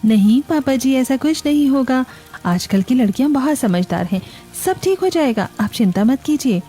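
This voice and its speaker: A woman plays a patient Indian eldest daughter-in-law in a family cartoon. She is soothing, practical and reassuring, in a fairly high, mellow voice over music. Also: expressive, normal speed. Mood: patient, conciliatory.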